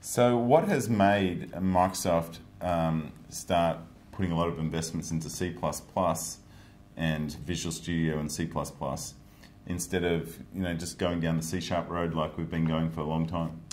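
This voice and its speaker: A man's voice: deep voice, fairly even intonation, unhurried, few pauses, a little mellow. A man, hosting a studio interview and offering brief, agreeable interjections. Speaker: host. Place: virtual news-style studio set.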